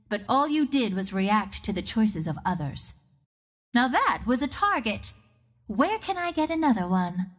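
Video game hero: a self-assured young woman archer of the wind, teasing and theatrical, with lively swooping intonation. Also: medium pitch. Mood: cocky, teasing. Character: confident, wry young female archer ranger of the wind.

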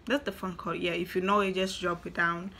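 Chatty woman vlogger, bright voice. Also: on the low side, fairly quick, slight background noise. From Tanzania. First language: Swahili. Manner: chatty, animated, repeats herself for emphasis. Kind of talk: casual talk to camera. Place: small indoor room.

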